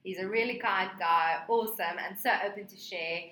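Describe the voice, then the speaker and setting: Woman, podcast co-host, bright tone, upbeat casual chat, echoey recording. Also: medium pitch, normal speed. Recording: roomy, echoey sound.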